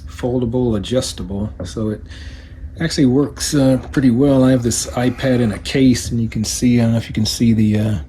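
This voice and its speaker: A man giving a casual, unscripted hands-on product demonstration in a low-key, hesitant manner, as a hobbyist reviewer. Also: fairly even intonation, brisk, dark tone, slight background noise.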